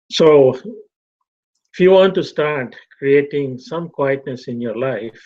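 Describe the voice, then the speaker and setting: Slow middle-aged guy from tanzania giving a talk, repeats himself, kinda dull lo-fi sound. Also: mid-range voice, a little mellow, slightly rough. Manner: slow, measured, repeats phrases. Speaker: fellowship speaker. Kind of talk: reflective talk. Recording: dull lo-fi recording.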